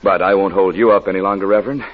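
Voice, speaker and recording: An actor playing a genial, chatty small-town traffic cop with a husky baritone, in 1940s radio drama style. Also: male voice, steady tone, racing. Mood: easygoing, friendly. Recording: muffled old radio recording.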